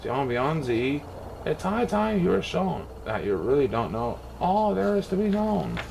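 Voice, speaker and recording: Man giving a swooping, animated storybook read-aloud. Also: fairly high pitch, a little slow, creaky, background noise. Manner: theatrical, playful rhyming delivery with exaggerated emphasis. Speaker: storybook reader. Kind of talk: expressive children's picture-book read-aloud.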